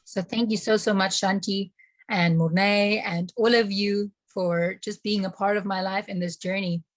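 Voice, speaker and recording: A young woman, earnest and gentle, sharing personal experiences in a casual chat. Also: mid-range voice, steady tone, normal speed, dry recording. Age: young adult. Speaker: guest.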